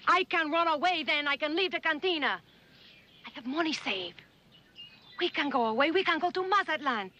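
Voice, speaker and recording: An actress playing a devoted, pleading sister who longs to escape, earnest and emotional in a television drama. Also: female voice, high voice, lively intonation, normal speed, bright, forceful tone, dull audio, slight background noise. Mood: hopeful, pleading. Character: pleading, devoted older sister longing to escape cantina life.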